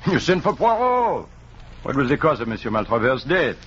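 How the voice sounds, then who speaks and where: An actor playing a vain, middle-aged foreign detective with a French accent and pompous, halting English in an old radio drama. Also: male voice, mid-range voice, very animated, fairly bright tone. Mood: theatrical, teasing. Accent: French-speaking European. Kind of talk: 1940s radio acting. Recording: muffled, noisy 1940s radio recording.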